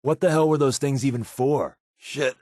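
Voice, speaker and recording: An American actor playing a sardonic, wisecracking secret agent companion in a video game, with a wry, offhand delivery. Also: male voice, medium pitch, normal speed, a little mellow, no echo, clean studio sound, natural voice.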